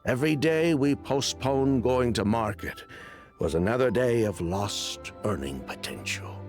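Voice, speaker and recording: An actor playing an evasive, self-justifying criminal in dramatic video game dialogue, with wry, sighing delivery. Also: male voice, mid-range voice, animated, unhurried, soft background music. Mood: defensive. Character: evasive, defensive criminal man confessing past wrongdoing.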